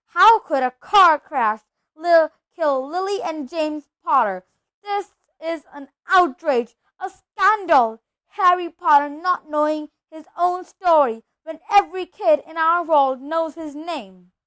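Storybook giant man, played by a woman: gruff, kind-hearted, colloquial and emphatic, pitched high. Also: lively intonation, measured pace, low-quality recording. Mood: outraged.

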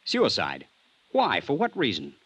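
An actor playing a defensive young employee under questioning in a 1950s radio drama, plainspoken and guarded. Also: male voice, lowish voice, huge pitch swings, a little slow, a bit bright, breathy edge, no room sound, full character voice. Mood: defensive, indignant. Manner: guarded, plainspoken, bristling when pressed. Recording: dry, muffled 1950s radio recording.

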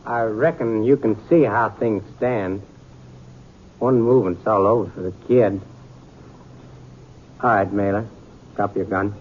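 An actor playing a vengeful, taunting outlaw in a 1950s radio western. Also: male voice, fairly low pitch, unhurried, pauses often, natural voice. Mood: gloating threat. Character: menacing, vengeful male outlaw holding a family hostage. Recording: tinny, faintly noisy old radio recording.

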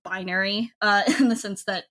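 A woman giving a casual interview as a creator. Her voice is bright and forward at an average pitch, and her phrasing is informal, with "like" sprinkled throughout. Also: steady tone, moderate pace. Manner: informal, frequent "like" as a filler. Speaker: audio drama creator, interview guest.

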